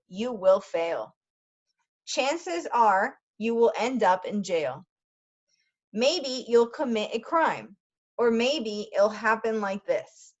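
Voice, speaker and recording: A woman teacher reading a story aloud for language learners, pausing often to explain words in quick asides. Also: on the high side, dull audio.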